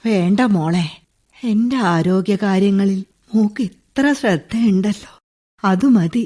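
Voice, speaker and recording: An actress playing an old bedridden mother in a radio play, speaking slowly in a husky, resigned voice. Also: medium pitch, warm tone, close to an everyday voice. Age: older. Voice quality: husky, slow and heavy. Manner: resigned, tearful at times. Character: old bedridden widowed mother, weary and bitter. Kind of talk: radio drama acting.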